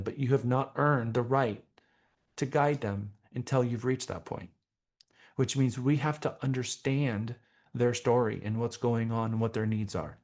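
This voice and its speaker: A man teaching a professional class as a trainer, speaking in a deliberate, emphatic way with rhetorical questions. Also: medium pitch, a little mellow. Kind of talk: motivational training seminar talk.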